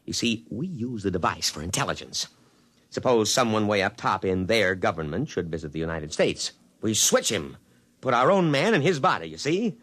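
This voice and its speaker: A pompous army colonel, animated, low-voiced. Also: male voice, normal speed. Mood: gleeful scheming. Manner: clipped, officious military formality. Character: pompous, ambitious male army colonel, scheming and vain. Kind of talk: 1950s radio drama acting and first-person narration. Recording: vintage 1950s radio broadcast with music.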